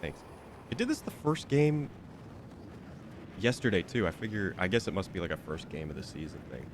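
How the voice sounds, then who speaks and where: Low-voiced congested young man chatting. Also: very animated, moderate pace, a little hiss or hum. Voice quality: nasal. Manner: rambling, self-interrupting, lots of fillers. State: congested, slightly nasal. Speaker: streamer. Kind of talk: casual chat while gaming.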